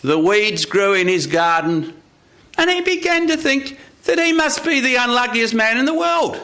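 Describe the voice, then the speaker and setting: A man telling a story to a live audience, with deliberate, dramatic phrasing and pauses. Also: extremely high pitch, sing-song, pushed and bright, clean tone. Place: radio studio with live audience.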